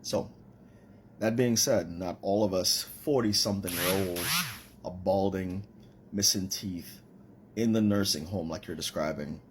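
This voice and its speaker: A man in his forties with a Trinidadian accent rants casually, boasting and scolding his critics. His voice sits very low. A faint hiss runs behind him. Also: unhurried. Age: 40s. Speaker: commenter in a stitched video. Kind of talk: casual rant to camera.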